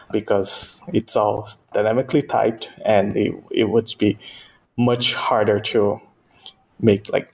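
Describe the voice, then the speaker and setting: Brazilian man, Portuguese native speaker, guest engineer; gravelly, halting, laughs under his breath. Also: lowish voice, poor audio. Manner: hesitant, frequent restarts and soft laughs. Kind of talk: casual interview answers.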